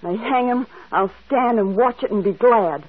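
An actress playing a bitter, defiant daughter in a radio mystery. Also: female voice, a little high, moderate pace, close to an everyday voice. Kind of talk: vintage radio mystery acting. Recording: tinny, narrow-band old radio recording.

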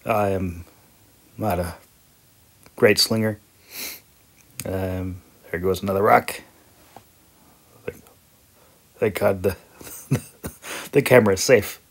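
A man's voice: deep voice, steady tone, takes it slowly, pauses often. A man giving a casual, rambling vlog voice-over in a hesitant, self-deprecating, chuckling way. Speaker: video creator and hobby audiobook narrator.

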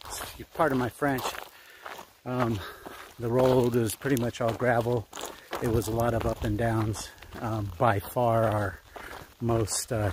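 A man's voice: medium pitch, noisy background. A middle-aged man vlogging a hike outdoors, chatty and tired, with many fillers, filmed on a phone at arm's length. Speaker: hiking vlogger. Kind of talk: casual hiking vlog. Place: outdoors on a mountain trail. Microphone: handheld phone camera at arm's length.

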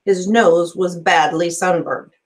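A woman's voice: on the low side, steady tone, slow. Woman teacher reading a story aloud. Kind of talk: storybook narration, read aloud. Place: small office, indoors. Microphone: computer microphone on a video call.

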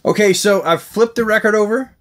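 Middle-aged male vlogger, slightly higher register, chatty and effusive reviewer with a joking streak. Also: fairly quick, music in the background. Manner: jokey, effusive, repeats words for emphasis. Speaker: host of a comics collecting channel. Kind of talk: casual unboxing and review commentary to camera. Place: small indoor room packed with collectibles.

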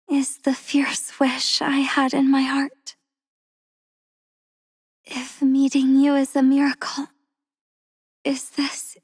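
A woman voicing a gentle, devoted young woman soldier in a game, speaking softly and tenderly with frequent breathy whispers, recorded on a close studio mic. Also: sits high, dry recording. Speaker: voice actress for a game character. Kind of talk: game character voice lines.

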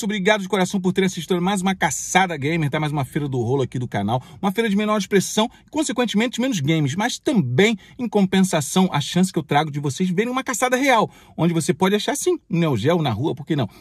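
A man's voice: sits high, animated, quick pace, hardly any pauses, slightly rough, no echo. A man, chatting to viewers in a casual, slangy way while filming himself bargain hunting outdoors on a handheld phone. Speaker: vlogger, game hunter. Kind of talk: casual vlog at a street market. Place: outdoor street flea market. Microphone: handheld phone camera. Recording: crowd noise from a busy street market. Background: market crowd chatter.

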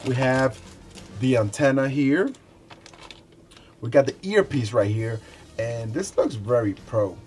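A man in his forties giving a casual, unscripted product review in a conversational, halting way, often repeating words as he goes. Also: mid-range voice, darkish tone, faint music behind. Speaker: product reviewer, show host. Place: small indoor room with shelves.

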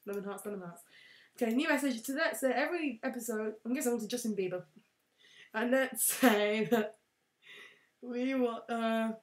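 A young woman casually commentating a game, excitable and prone to shouting at wins and losses. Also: medium pitch. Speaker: let's play host. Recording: roomy echo.

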